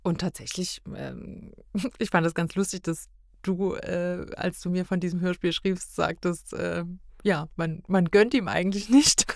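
A woman's voice: lowish voice, unhurried, creaky, darkish tone, no room sound, clean studio sound. A woman chatting casually as a podcast guest, often giggling as she talks. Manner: giggly, often laughing while talking. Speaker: podcast guest reviewing audio dramas. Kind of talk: casual chat.

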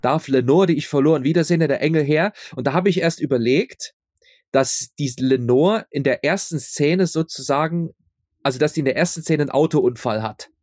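A man with a moderately high voice talks as a guest in a casual interview. He explains things in a halting way, doubling back on words. The recording is close and dry, free of echo. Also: darkish tone. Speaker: audio drama maker being interviewed. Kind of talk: casual conversational interview answers.

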